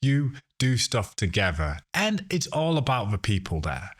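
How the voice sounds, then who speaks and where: A man from Ghana and the UK, answering conversationally as a podcast guest, with halting restarts. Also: lowish voice, very animated. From Ghana, later the UK. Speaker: podcast guest, sustainability professional.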